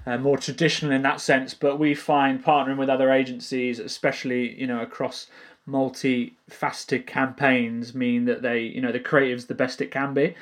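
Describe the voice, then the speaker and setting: A man hosts a podcast interview. He asks his questions haltingly, with frequent hesitations and restarts. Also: medium pitch, level tone. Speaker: podcast host.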